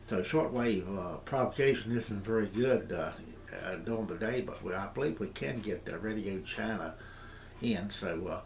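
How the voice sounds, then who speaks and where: Halting older American man, slightly low voice. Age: 60s. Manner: halting, rambling, repetitive. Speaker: amateur radio hobbyist presenting his gear. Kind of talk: casual unscripted demonstration vlog. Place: small indoor radio room at home. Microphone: camera mic, tripod then handheld. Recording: roomy echo, background noise, camcorder.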